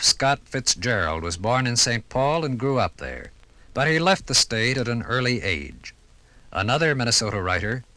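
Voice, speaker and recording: An American man narrating a scripted 1950s radio documentary with formal, measured, dramatic phrasing. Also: mid-range voice, sharp tone, dry recording.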